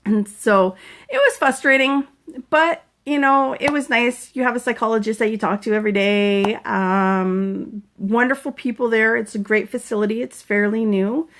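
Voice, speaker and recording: A chatty, earnest woman in her forties from Canada, with a voice sitting a little high. She talks casually to her viewers as a fitness coach, now and then breaking off to greet people brightly. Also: normal speed. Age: 40s. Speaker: fitness coach sharing concussion recovery. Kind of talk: casual live-stream vlog, greeting viewers.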